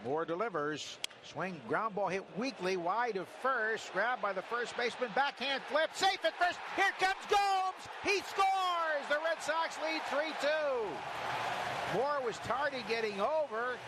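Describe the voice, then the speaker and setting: A man calls baseball live on the radio in a very high-pitched voice. His phrases are clipped and build into long, drawn-out calls. Loud noise runs behind him throughout the broadcast. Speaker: baseball radio play-by-play announcer. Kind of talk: live radio sports commentary. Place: ballpark broadcast booth. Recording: very noisy ballpark radio broadcast.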